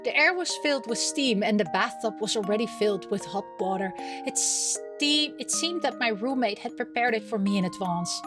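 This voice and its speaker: A woman reading a visual novel aloud as a gameplay host, expressive and theatrical, with playful asides. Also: on the high side, moderate pace, music in the background.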